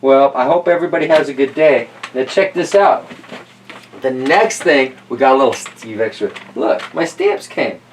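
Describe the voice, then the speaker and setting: A folksy man hosts a children's read-aloud, rambling playfully between lines. His pitch moves around a lot. He is recorded a little way from the mic, with faint noise behind him. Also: lively intonation, normal speed, distant mic, low-quality recording. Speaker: host and reader of a children's story show. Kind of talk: read-aloud storytelling with casual asides.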